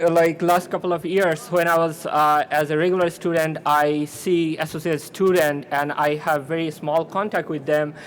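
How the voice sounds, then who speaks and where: Halting young man. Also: a little high, a little hiss or hum. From Bangladesh. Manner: hesitant, halting, with fillers. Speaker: student candidate. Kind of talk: campaign speech.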